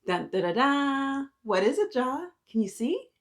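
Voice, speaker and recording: A woman's voice: sits high, very animated, a little slow. A woman hosting a picture book read-aloud for young children, encouraging and sing-song, often putting questions to her listeners.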